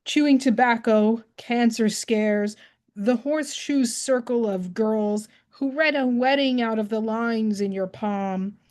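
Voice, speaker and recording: A woman's voice: a little high, darkish tone. A woman who reads her poem and then chats plainly about her writing discipline. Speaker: poet reading at an open mic. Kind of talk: poetry reading and casual chat.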